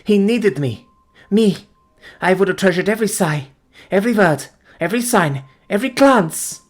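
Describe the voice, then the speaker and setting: A man's voice: medium pitch, animated, fast talker, breathy edge, soft background music, pitched above the performer's own voice. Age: young adult. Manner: pleading, breathless, trembling. Figure: a devoted woman in mourning, as a male narrator puts her on, in an audiobook. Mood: anguished.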